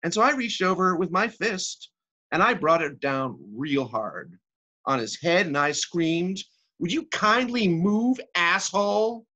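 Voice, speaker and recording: Here is a man acting out a frazzled woman in her thirties, delivering a comic stage monologue. The voice is sharp and bright, with a lively range. She rambles emphatically, exasperated and sorry for herself. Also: a little high, unhurried, voice pushed up, full character voice, poor audio. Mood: indignant, frantic. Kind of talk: theatrical comic monologue reading.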